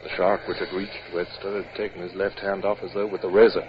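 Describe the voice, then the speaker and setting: Reserved, authoritative British naval captain in radio drama; low register, clipped formal delivery, dull vintage recording. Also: male voice, flat delivery, fast. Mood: grave narration. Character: stern, reserved British naval captain narrating his adventures. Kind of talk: 1950s radio drama acting and narration. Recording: muffled, hissy old radio recording.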